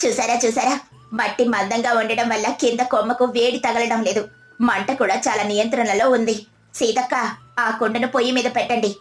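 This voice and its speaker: Woman playing resourceful village woman, high voice. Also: steady tone, moderate pace, close to an everyday voice. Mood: careful, reassuring. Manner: encouraging, practical, instructive. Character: Rajavva, resourceful brave devout village daughter-in-law cooking in flood. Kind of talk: animated moral story dialogue.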